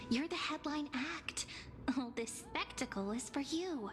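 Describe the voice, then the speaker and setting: A woman voicing a playful, spirited young heroine companion in a video game, casual and expressive. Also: sits high, unhurried. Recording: background music under the voice.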